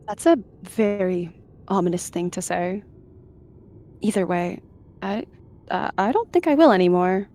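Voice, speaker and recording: A woman's voice: mid-range voice, a little slow, long pauses, dark tone, natural voice. A woman voicing a young, anxious and huffy female clan cat in a roleplay, hesitant and whiny.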